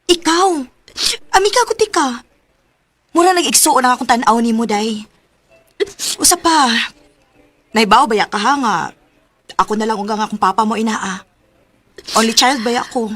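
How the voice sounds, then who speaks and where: A woman's voice: on the high side, moderate pace, long pauses, a bit bright, no echo. A woman playing a blunt, exasperated best friend in a radio drama, scolding emphatically with a Cebuano Filipino accent. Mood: hurt, reproachful. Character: blunt, exasperated young best friend who feels taken for granted.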